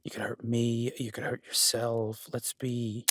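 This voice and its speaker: A man's voice: medium pitch, monotone, a little slow, noisy background, close to an everyday voice. A man playing a teasing, protective woodland cabin host, speaking intimately in an audio roleplay. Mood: alarmed, soothing. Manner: teasing, soft-spoken, intimate.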